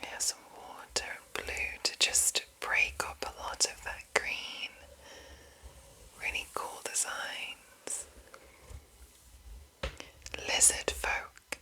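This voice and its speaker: A woman whispering an ASMR reading in a breathy voice, deliberate and broken into short phrases with pauses. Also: sharp tone, poor audio. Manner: slow, deliberate, pausing between short phrases. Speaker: ASMR host reading game monster entries.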